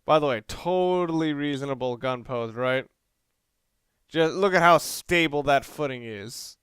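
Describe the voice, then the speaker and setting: A man's voice: fairly high pitch, unhurried, a bit bright, clean tone, dry recording, clean studio sound. A man giving casual, hesitant video game commentary with wry, self-deprecating asides. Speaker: video game let's player. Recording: game music and gunfire behind. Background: game music, gunfire.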